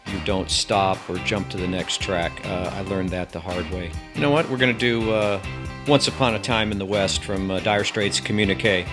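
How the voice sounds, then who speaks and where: A man giving a casual, rambling product review as a channel host, with wry asides. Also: lowish voice.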